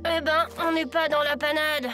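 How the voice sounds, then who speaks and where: A woman plays an earnest little boy in a cartoon, expressive and helpful. The voice sits extremely high, with music clearly audible behind it. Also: normal speed, lightly acted. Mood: concerned. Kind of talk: French children's cartoon dubbing.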